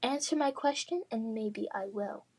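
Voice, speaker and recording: A woman's voice: fairly high pitch, moderate pace, darkish tone, husky. A woman voicing a cruel, mocking bully boy in a children's fantasy storybook. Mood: sneering, taunting. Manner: mocking, taunting.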